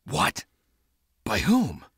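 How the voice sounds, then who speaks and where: An actor playing a cocky, vengeful young pirate captain in a dramatic video game, with a brash, swaggering manner. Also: male voice, drawn-out words, a bit bright. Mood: eager, challenging. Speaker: video game protagonist and narrator. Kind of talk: dramatic game cutscene acting and narration.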